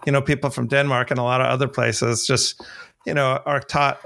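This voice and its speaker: American male co-host with a bright, gravelly voice, jokey and loose. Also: medium pitch, little pitch movement, fairly quick. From United States. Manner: joking, loose phrasing. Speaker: podcast co-host. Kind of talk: casual interview chat.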